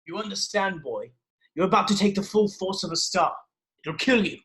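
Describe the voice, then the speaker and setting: A man playing a worried, gruff dwarf blacksmith in an amateur script read. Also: high voice, normal speed, fairly bright tone. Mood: exasperated warning.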